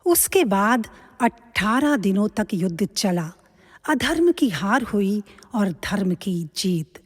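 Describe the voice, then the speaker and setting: A woman's voice: medium pitch, very animated, slow pace, husky, exaggerated voice. A woman giving scripted storytelling narration for a Hindi mythological audio drama. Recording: long hall-like reverb.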